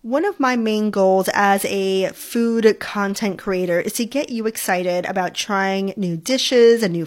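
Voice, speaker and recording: A young adult woman from New York hosts a food podcast in a relaxed, friendly way. She talks with enthusiasm about food, in a chatty, conversational manner. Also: medium pitch. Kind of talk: casual solo podcast talk.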